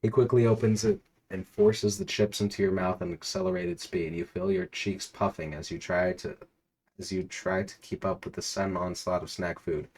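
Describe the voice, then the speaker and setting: A man's voice: low-pitched, normal speed, gravelly, a little mellow, husky. A man narrating an absurd interactive story aloud in a theatrical, emphatic way. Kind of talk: dramatic read-aloud of absurd interactive fiction.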